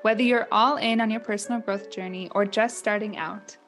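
A woman reading a scripted podcast intro in a smooth, upbeat way. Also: medium pitch, fairly even intonation, fairly quick. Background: soft music.

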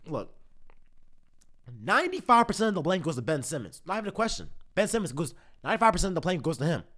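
A man ranting heatedly at his team as a fan, repeating himself, his voice strained from cheering. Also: high-pitched. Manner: heated, repetitive, exasperated ranting. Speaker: sports fan. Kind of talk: ranting commentary to camera.

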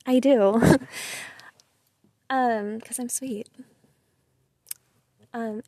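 A woman's voice: a little high, no room sound. A young woman with a sweet voice venting casually to listeners, chatty and emphatic, full of "like" and "you know". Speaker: vlogger.

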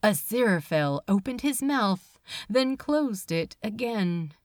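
A woman's voice: medium pitch, expressive, takes it slowly, no echo. A woman reading a story aloud as scripted narration, recorded close. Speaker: amateur audiobook reader.

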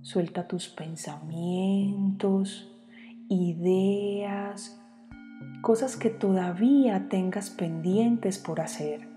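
Female meditation guide, soft dark tone, calm short phrases with long pauses, music underneath. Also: mid-range voice. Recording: long reverb, music behind.

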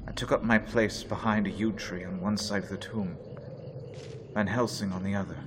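An actor playing a frightened young doctor who narrates his diary in a hushed, dread-filled storytelling manner. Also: on the low side, fairly even intonation, moderate pace, background noise, natural voice. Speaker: radio drama actor. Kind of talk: old-time radio drama narration.

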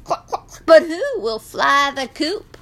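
Storybook rooster, played by a woman: cocky, blunt, theatrical, high crowing tone. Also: sing-song, takes it slowly, bright, forceful tone, full character voice.

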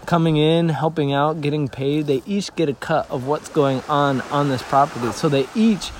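A man's voice: fairly high pitch, steady tone, moderate pace, a little hiss or hum. A man who grew up in Tanzania, talking earnestly as a missionary in an interview, telling stories with frequent restarts. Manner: earnest, storytelling, many restarts. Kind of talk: conversational interview.

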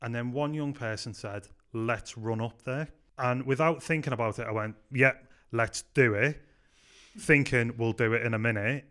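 A man's voice: mid-range voice, moderate pace. A man with a Scouse accent from Liverpool, a youth pastor telling stories in a casual podcast chat with many ums. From Liverpool, England. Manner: chatty, frequent "um", animated anecdotes. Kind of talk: casual podcast interview storytelling.

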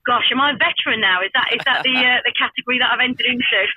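A woman's voice: a little high, very fast. A chatty, self-deprecating woman, a team captain giving a casual interview over the phone. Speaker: amateur football team captain being interviewed. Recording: tinny telephone line.

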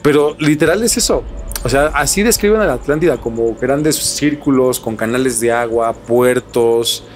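Mid-pitched Mexican man hosting a podcast. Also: moderate pace, slight background noise. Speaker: podcast host. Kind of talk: casual podcast chat.

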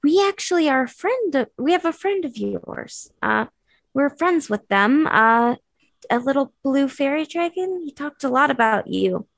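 High, animated woman playing a tabletop game. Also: a little slow, vocal fry, dry recording. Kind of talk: casual in-character game chat.

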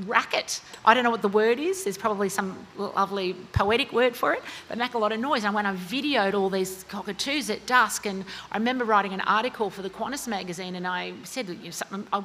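A woman's voice: mid-range voice, fast talker, a bit bright, a little hiss or hum. An Australian woman author talking about her novel in an interview, chatty and full of fillers and restarts. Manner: chatty, lots of fillers and self-corrections. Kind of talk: interview at a book talk.

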